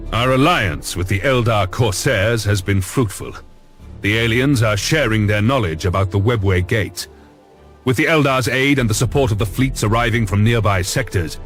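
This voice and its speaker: An actor playing a grave, commanding Lord Admiral in a video game cutscene, with formal and solemn delivery. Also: male voice, lowish voice, a bit bright. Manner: formal, authoritative, solemn oratory. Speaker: fleet commander. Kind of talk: scripted dramatic game cutscene acting. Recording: music behind the voice.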